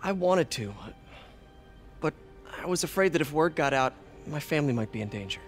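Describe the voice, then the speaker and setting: An actor playing a quippy, awkward young superhero with an American accent in a video game, joking and stammering when flustered. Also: male voice, fairly high pitch, animated, moderate pace, soft background music. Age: young adult. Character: quippy, awkward, good-hearted young male masked superhero. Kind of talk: cinematic video game acting.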